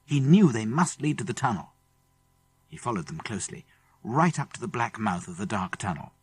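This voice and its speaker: A man's voice: fairly low pitch, sing-song, moderate pace, a little mellow, slightly rough. A man with a British accent reading a children's adventure story expressively, building suspense as he narrates. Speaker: audiobook narrator.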